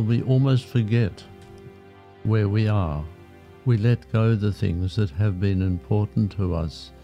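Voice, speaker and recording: A low, gravelly male voice guides a meditation at a slow, calm pace. He speaks in short phrases and leaves long, restful gaps. The recording is a little dull and lo-fi, with music underneath. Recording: muffled, dull lo-fi sound. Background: background music.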